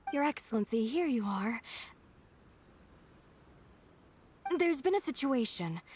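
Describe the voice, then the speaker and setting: A woman's voice: a little high, lively intonation, a little fast, a little mellow, slight background noise, lightly acted. A woman playing an eager, dutiful young shrine assistant in a video game, respectful and a little fretful. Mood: worried. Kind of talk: English video game voice acting.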